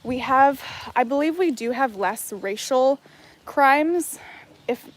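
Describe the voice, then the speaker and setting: Chatty woman, fairly high voice. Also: animated, takes it slowly. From Hawaii. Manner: chatty, rambling, many "like" fillers and restarts. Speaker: livestream host reading chat. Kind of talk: casual livestream chat while walking. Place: outdoors on a jungle walk.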